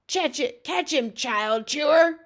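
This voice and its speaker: A woman voicing brutish, bullying man-eating giants from a children's storybook, calling out in loud taunts and broken grammar. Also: high-pitched, lively intonation, slow pace, voice pushed up. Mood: boisterous.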